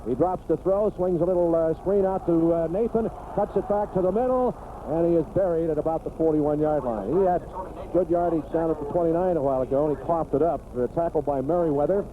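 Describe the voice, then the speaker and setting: A man's voice: fairly high pitch, fairly quick. A man calling American football play-by-play live on the radio. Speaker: play-by-play sports announcer. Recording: noisy tinny 1980s radio broadcast.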